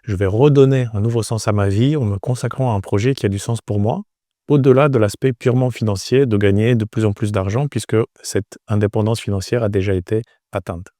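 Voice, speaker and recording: A man in his forties from Romania, answering interview questions conversationally, with frequent pauses and repeated words. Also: lowish voice, steady tone, warm tone, no echo, clean studio sound. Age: 40s. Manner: hesitant, pausing often with repeated words. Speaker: entrepreneur, interview guest.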